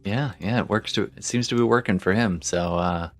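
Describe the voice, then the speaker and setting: A man's voice: on the low side, brisk. A man chatting informally as game master of an online tabletop game, often muttering to himself as he works. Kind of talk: casual chat while running an online tabletop game.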